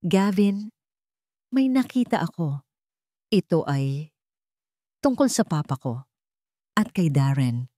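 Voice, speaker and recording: A woman's voice: lowish voice, big pitch swings, takes it slowly, long pauses, mellow, dry recording, clean studio sound. A woman voicing an anxious but determined young heroine, hesitant and earnest, in an audio story.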